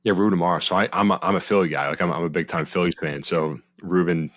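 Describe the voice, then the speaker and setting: A man with a low voice hosts a casual interview. He asks long, rambling questions and laughs easily. His voice sounds close and dry, with no room echo. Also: fairly even intonation, fast talker. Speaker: podcast host.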